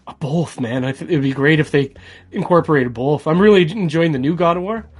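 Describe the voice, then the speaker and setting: A man's voice: fairly high pitch, a little fast, breathy edge. A middle-aged man co-hosting a podcast in casual, slangy, buddy-like chat. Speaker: podcast co-host. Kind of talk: casual chat about video games.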